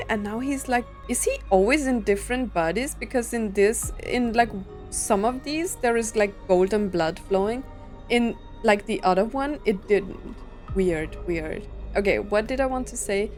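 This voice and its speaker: A woman's voice: medium pitch, a little mellow. A woman streaming a video game with casual playthrough commentary, sometimes reading on-screen lines aloud.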